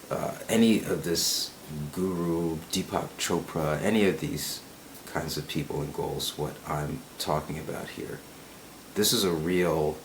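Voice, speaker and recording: A man's voice: a bass voice, a bit bright, slight background noise, low-quality recording. A man talking in a casual, musing monologue, often checking in with "right?" and repeating words as he thinks. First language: English. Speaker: self-help video host.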